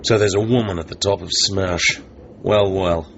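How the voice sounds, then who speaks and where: An actor playing a cool, wary British secret agent in a radio drama, terse, dry and controlled. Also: male voice, low-pitched, fairly even intonation, normal speed, gravelly, fairly bright tone. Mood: dryly defiant. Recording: slightly noisy, muffled radio broadcast recording.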